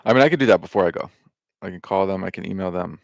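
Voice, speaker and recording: A man with a fairly low voice and a lot of gravel and vocal fry talks through a casual, rambling monologue. He wanders between thoughts with fillers and restarts and swears without a second thought. The sound is dry and close, with no room around it. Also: racing, no room sound. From United States. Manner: loose and meandering, with lots of fillers, restarts and swearing. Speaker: vlogger.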